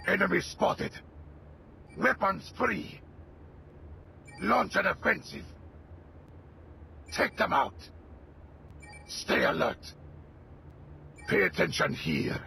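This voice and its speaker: Vengeful gravelly war commander for a game, super forceful and intense, slight noise behind. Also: male voice, high-pitched, heavily acted. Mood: terse, tactical. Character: vengeful adult male militant commander, menacing and wrathful. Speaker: playable game character. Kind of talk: video game voice lines, combat barks.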